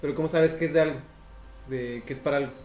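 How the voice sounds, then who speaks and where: A man's voice: on the high side, fairly even intonation, quick pace, a little mellow, roomy sound. A man with a Mexican Spanish accent, joking around and asking questions in a casual podcast chat. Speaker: podcast co-host.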